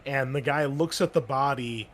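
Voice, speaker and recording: A man running a tabletop game as game master, narrating in a casual, halting way full of fillers. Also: mid-range voice, a bit bright, a little hiss or hum.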